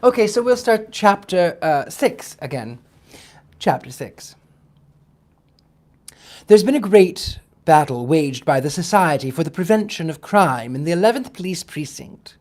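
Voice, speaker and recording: A male storyteller hosting a live read. His voice sits on the high side for a man. He reads in a dramatic storytelling style and also chats casually with his listeners. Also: expressive, a little slow, darkish tone. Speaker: storyteller, livestream host.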